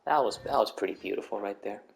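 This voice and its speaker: A woman's voice: a bass voice, fast talker, vocal fry. A woman giving casual gameplay commentary, with wry, sweary asides as she goes. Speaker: game streamer.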